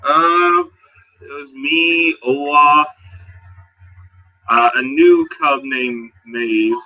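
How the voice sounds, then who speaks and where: A man narrating and improvising in character as a role-playing game player, in a halting, conversational way. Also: on the high side, fairly even intonation, pushed and bright, dull audio.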